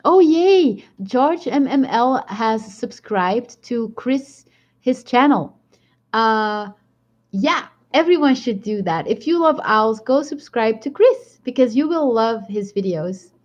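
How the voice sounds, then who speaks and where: A woman's voice: fairly high pitch, lively intonation, slow pace. A woman with a strong Belgian accent chatting casually on a live stream, rambling and laughing often as she answers viewer comments. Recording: dull lo-fi stream audio.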